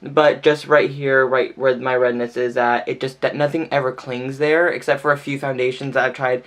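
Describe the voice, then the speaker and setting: A man's voice: mid-range voice, level tone, moderate pace, fairly bright tone. A man talking through a makeup tutorial in a chatty, casual way, filling his speech with "like", his voice a little stuffy and nasal from sneezing. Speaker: beauty vlogger.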